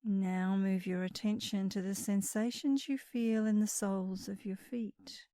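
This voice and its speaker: A woman leading a guided sleep meditation in a soothing, unhurried manner, with breathy endings to her phrases. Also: mid-range voice, fairly even intonation, dark tone, no echo.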